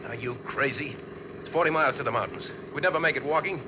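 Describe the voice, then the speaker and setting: A hard-boiled young American crook acted by a man, curt and impatient, with a highish voice and rapid delivery. It is old radio drama, muffled, with clear car noise behind. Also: lightly acted. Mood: irritated, scornful. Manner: curt, tough, clipped. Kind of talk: 1940s radio acting.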